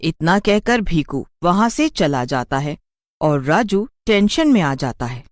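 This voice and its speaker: A narrator reading a scripted cartoon story in an expressive storytelling manner. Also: medium pitch, unhurried, no room sound, studio quality.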